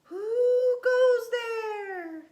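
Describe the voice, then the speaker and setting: A woman voicing an excitable little kitty boy from a children's storybook, theatrical and exclaiming, with drawn-out words. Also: very high-pitched, highs cut off, pitched above the performer's own voice, full character voice. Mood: excited. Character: excitable little Siamese kitty boy playing a space-bound Chihuahua. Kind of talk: children's storybook read-aloud.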